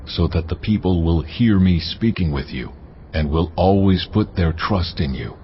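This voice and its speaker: An actor playing God as a commanding, almighty male voice, speaking in a solemn and measured way in a dramatized Bible reading, with orchestral music behind. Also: deep voice, expressive, slight background noise. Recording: muffled audio under orchestral music.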